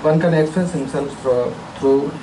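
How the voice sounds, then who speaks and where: A man's voice: on the high side, moderate pace, far from the mic, background noise. A man from India giving a formal, earnest self-introduction at a conference, speaking from a prepared statement in an auditorium.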